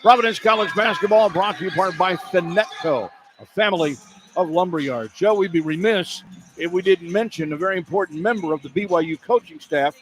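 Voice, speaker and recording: A man's voice: sits high, fairly quick. A man doing live radio play-by-play commentary of a basketball game as the announcer. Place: courtside in a basketball arena. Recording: clear arena noise behind broadcast.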